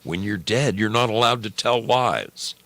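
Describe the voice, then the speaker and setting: A man voicing a calm, secretive babysitter in a storybook reading, giving instructions in a matter-of-fact way. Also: on the low side, measured pace, voice pushed up. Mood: cryptic, instructing.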